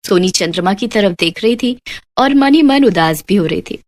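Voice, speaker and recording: A woman's voice: medium pitch, animated, moderate pace, a little mellow, no echo. A woman reading scripted narration for a children's story.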